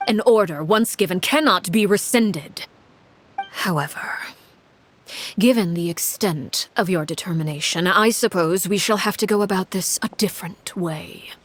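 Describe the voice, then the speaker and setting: An actress playing a cold, commanding woman who heads a house, speaking in a measured, formal and icily calm way. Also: fairly low pitch. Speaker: villainous house leader.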